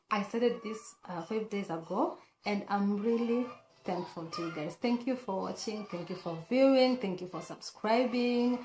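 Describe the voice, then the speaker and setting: Female vlogger, hoarse, earnest and chatty, mid pitch, echoey room sound. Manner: chatty, earnest, many fillers and restarts. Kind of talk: casual talk to camera.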